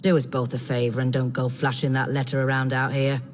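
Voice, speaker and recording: An actor playing a world-weary London police inspector with a British accent, gruff, wry and guarded, in a video game. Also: male voice, mid-range voice, completely flat delivery, brisk, big-room sound, a little hiss or hum, close to an everyday voice. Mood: brisk, guarded. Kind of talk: scripted video game cutscene acting.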